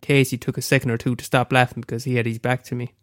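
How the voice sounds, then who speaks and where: Irish man, young, stuffy head cold, smooth resonant voice, dry close sound, reading own story. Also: mid-range voice, flat delivery, fast talker, no echo. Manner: casual, hesitant with fillers in the intro. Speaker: amateur storyteller. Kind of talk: reading his own short story aloud.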